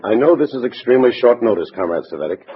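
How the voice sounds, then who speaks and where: Guy playing brisk party boss, old radio drama, lowish voice, bossy and formal, dull recording w faint noise. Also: lively intonation, close to an everyday voice. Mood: stern, urgent. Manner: formal, businesslike, commanding. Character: brisk, authoritative male Communist party boss giving orders. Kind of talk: 1950s radio drama acting. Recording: muffled, faintly noisy vintage radio recording.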